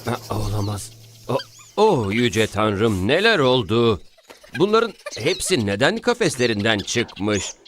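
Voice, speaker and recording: A man's voice: mid-range voice, big pitch swings, slow pace, fairly bright tone, slight background noise. A man playing a flustered, bewildered pet shop owner in a cartoon. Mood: alarmed. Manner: exclaiming, bewildered.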